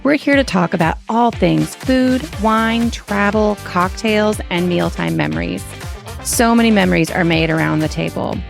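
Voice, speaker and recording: A woman's voice: lowish voice, normal speed, vocal fry, soft background music. A woman hosting a podcast, introducing and chatting in an effusive, encouraging way. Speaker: podcast host. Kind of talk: podcast introduction and conversational interview.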